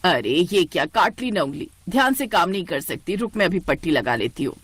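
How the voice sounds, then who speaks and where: A woman's voice: lowish voice, very animated, fairly quick, a bit bright, slight background noise, voice pushed down. A woman voicing a fond, fussing middle-aged mother in a cartoon story.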